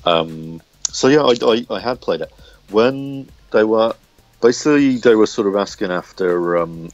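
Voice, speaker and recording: A man being interviewed as a comic book writer, chatting casually in a halting way and often repeating words as he thinks aloud. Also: on the low side, lively intonation, dry recording, soft background music. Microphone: smartphone recorder. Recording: dull lo-fi phone recording.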